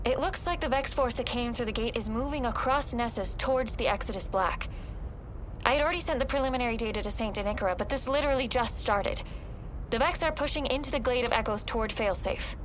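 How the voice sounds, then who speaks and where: A woman playing a small, devoted AI companion in a sci-fi audio drama, speaking in a gentle, reassuring manner. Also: fairly high pitch, fast, a little hiss or hum, close to an everyday voice. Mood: concerned. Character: small, devoted, comforting female AI companion spirit.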